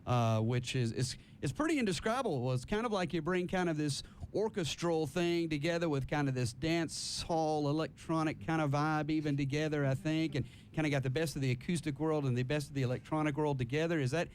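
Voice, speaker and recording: A man's voice: a little high, a little fast, hardly any pauses, darkish tone, a little hiss or hum. A man hosting a casual live radio interview, chatty and rambling, often repeating himself. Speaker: radio host interviewing a band. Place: radio studio.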